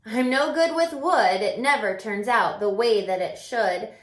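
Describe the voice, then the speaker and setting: A woman's voice: on the high side, measured pace, lightly acted. A woman voicing a small, earnest, selfless male Christmas elf from a storybook in a sincere, sing-song way. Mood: earnest, pondering. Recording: roomy, echoing room sound.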